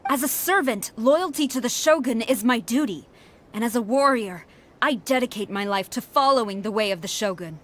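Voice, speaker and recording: A woman's voice: a little high, expressive, normal speed, fairly bright tone. A woman playing a stern, proud, loyal army general in an anime video game, formal and commanding. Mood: formal, firm.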